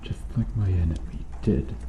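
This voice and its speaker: A man's voice: low-pitched, lively intonation, moderate pace, phone-quality sound. A man playing a brooding, remorseful and protective medieval knight in an ASMR roleplay, speaking formally and solemnly with archaic phrasing. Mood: anguished. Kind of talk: ASMR roleplay, slow dramatic acting addressed to the listener. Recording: roomy echo, faint background noise.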